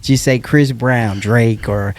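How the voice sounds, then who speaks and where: A man's voice: medium pitch, fairly even intonation, slow. A man from Illinois, an app founder, telling his story in a rambling way with many fillers. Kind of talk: casual interview.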